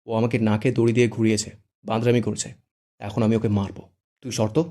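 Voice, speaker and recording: A man's voice: fairly low pitch, little pitch movement, brisk, warm tone, natural voice. A man voicing a cheeky teasing young man. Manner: mocking, raised and theatrical.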